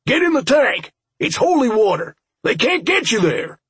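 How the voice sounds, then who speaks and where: Man as a hard-edged monster hunter, yelling high. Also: sing-song, normal speed, breathy edge, dry recording, heavily acted. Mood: frantic yelling. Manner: yelling terse commands. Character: hard-edged male monster-hunting fighter, urgent and foul-mouthed. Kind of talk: audiobook character voice.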